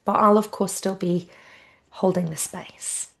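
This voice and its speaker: A woman teaching yoga nidra, giving gentle guided relaxation in a soothing, unhurried and informal way. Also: fairly low pitch, fairly even intonation, vocal fry.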